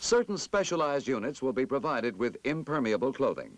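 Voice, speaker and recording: A man's voice: medium pitch, very animated. Emphatic 1960s training film narrator, man. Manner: formal, emphatic, measured instructional delivery. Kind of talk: 1960s military instructional film narration, scripted.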